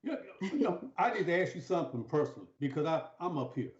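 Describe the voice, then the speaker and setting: A man's voice: on the high side, very animated, fast. A man hosting an informal interview, often repeating words as he asks his questions. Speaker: interview host. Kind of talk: conversational interviewing. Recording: dull, echoey room livestream audio.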